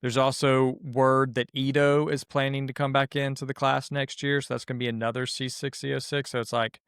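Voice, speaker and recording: Southern male co-host, smooth clear voice, relaxed chat, dry mic. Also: medium pitch, brisk, no room sound. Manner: easygoing, agreeable, frequent yeahs. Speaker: podcast co-host. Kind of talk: casual chat.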